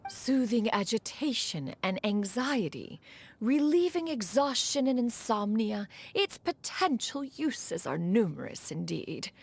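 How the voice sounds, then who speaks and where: An actress playing a proud, self-assured immortal inventor in a video game, speaking in a formal, archaic manner. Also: female voice, fairly high pitch, sing-song, unhurried, vocal fry, husky, exaggerated voice. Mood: proud, explanatory. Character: dignified, proud immortal female adeptus inventor. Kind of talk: video game character acting.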